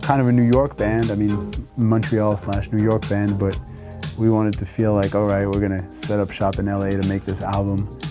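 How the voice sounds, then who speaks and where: A man's voice: lowish voice, moderate pace. A man in his thirties casually touring his wood-panelled music studio, rambling and gesturing as he talks. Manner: relaxed, rambling, gestures with hands while talking. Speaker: musician giving a studio tour. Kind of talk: casual chat.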